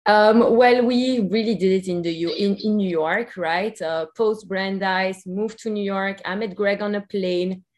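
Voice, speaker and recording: Belgian lady on a podcast, upbeat and chatty, middle pitch. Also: fairly even intonation, measured pace, hardly any pauses, darkish tone. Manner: upbeat, chatty, laughs easily. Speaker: guest, company co-founder. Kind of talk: podcast interview.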